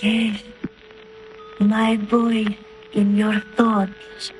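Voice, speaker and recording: A slow hypnotic villainess. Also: female voice, medium pitch, completely flat delivery, slight background noise, poor audio. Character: sinister woman hypnotist calling in a trance. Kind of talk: 1930s radio drama acting.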